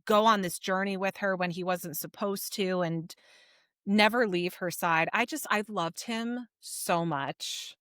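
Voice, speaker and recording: A woman's voice: medium pitch, a little slow, a bit bright, dry recording, studio quality. A woman co-hosting a podcast, recapping a story in an informal, conversational way. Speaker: podcast co-host. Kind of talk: casual book discussion, recapping a story.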